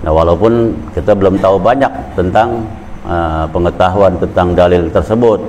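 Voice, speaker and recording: Low-voiced man preaching casually. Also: moderate pace, a little mellow, slight background noise. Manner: conversational, repeats phrases, builds to emphatic stresses. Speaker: Islamic preacher. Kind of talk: colloquial sermon at a weekly study gathering.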